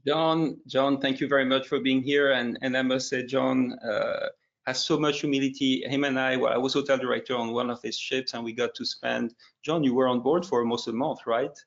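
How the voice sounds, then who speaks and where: A man with a gravelly voice hosts a webinar panel over a call, effusive in his praise and halting, often repeating words. The audio is dull and lo-fi. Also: medium pitch, fairly even intonation. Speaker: panel moderator. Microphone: video call.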